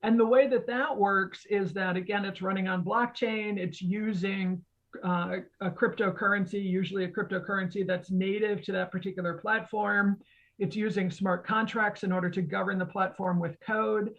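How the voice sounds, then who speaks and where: A woman's voice: mid-range voice, fairly even intonation, normal speed. A woman explaining technology conversationally as an expert guest, in a didactic way that often checks in with the listener. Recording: dull, lo-fi audio.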